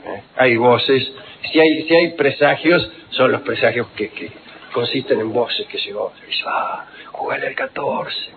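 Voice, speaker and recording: A man hosting radio, telling ironic, digressive stories with quick asides. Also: mid-range voice, crowd noise. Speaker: radio host. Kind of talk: humorous radio talk. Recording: lo-fi phone-like archive radio with noise.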